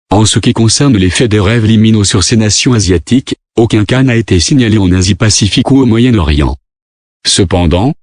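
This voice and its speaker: A man's voice: lowish voice, a little mellow, no echo, studio quality. A man reading a scripted government emergency broadcast in a formal, impersonal way as an announcer.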